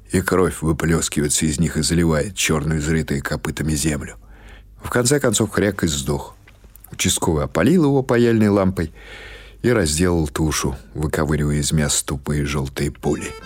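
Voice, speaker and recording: A man's voice: deep voice, a little mellow, breathy edge. A man reading literary prose as an audiobook narrator, in a scripted storytelling style. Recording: music behind the voice. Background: music.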